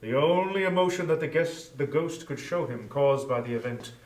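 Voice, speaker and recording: A man narrating a story in a solemn, deliberate, theatrical dramatic style. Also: mid-range voice. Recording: echoey room, distant from mic.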